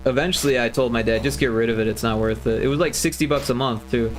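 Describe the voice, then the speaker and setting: A man's voice: medium pitch, steady tone, quick pace, soft background music. A man in his forties, a game developer chatting casually on a livestream, rambling with lots of fillers and restarts. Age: 40s.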